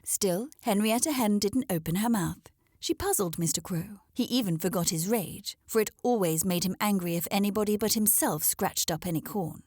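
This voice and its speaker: A woman reads a children's story aloud as its narrator. Her pitch sits in the middle range, and the recording is dry and free of echo. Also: moderate pace, a little mellow.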